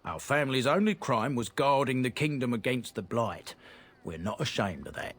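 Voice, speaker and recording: A man's voice: medium pitch, animated, normal speed, lightly acted. A man voicing a proud, blunt merchant from a disgraced noble family in a video game. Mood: earnest. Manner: blunt, earnest, plain-spoken. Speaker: game character.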